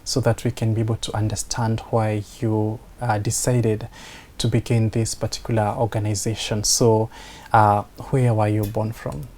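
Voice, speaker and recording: A man hosting an interview show, speaking in a measured, hesitant way with many pauses. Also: on the low side, little pitch movement, a little mellow. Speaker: host.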